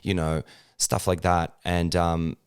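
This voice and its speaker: Actor guest, man, low smooth voice, hesitant with fillers. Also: flat delivery, slow. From Serbia. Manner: hesitant, many fillers and restarts. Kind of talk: casual interview conversation.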